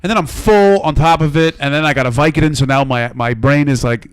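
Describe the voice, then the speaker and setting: An American man with a slightly hoarse voice, co-hosting a podcast with casual, profane, self-deprecating storytelling that rambles. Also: fairly high pitch, brisk, no echo. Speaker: podcast co-host. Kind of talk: casual comedic storytelling among friends.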